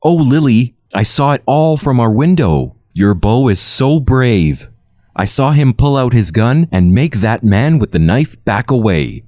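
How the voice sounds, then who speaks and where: A man voicing an excitable, teasing young working woman from the 1800s in a gushing, insistent storybook manner. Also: mid-range voice, measured pace, no room sound, studio quality, voice pushed up, close to an everyday voice. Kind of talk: storybook character voice in narration.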